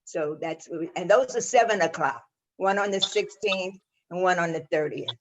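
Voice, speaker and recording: A woman's voice: on the low side, moderate pace. A woman making informal announcements on a group video call. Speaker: theatre staff member. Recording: muffled call audio, faint noise.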